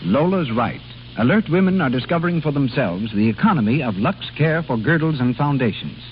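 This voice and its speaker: A man's voice: mid-range voice, sing-song, fairly quick. A man delivering scripted 1930s radio announcements and commercial copy. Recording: muffled, hissy old radio broadcast.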